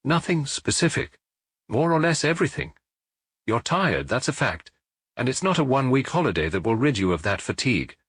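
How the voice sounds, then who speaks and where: Man reading frank family doctor, brisk, reassuring, husky, close and dry. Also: medium pitch, big pitch swings, a bit bright, no echo, pitched above the performer's own voice, exaggerated voice. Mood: firm. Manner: brisk, reassuring, lightly teasing.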